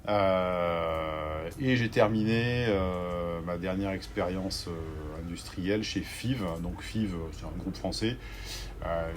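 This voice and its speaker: A man in his forties from southwest France, the guest, talking through his career slowly with many fillers and restarts. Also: low-pitched, a little hiss or hum. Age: 40s. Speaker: organic grocery owner, guest. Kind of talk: conversational interview.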